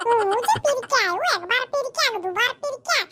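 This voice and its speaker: A performer voicing a squeaky, indignant young man in a comedy cartoon, bickering. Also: lively intonation, fairly quick, bright, forceful tone, a little hiss or hum, heavily acted. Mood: scheming, bickering. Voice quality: squeaky, artificially high voice. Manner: scolding, bickering, rhetorical questions. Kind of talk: exaggerated comic cartoon dialogue in rural dialect.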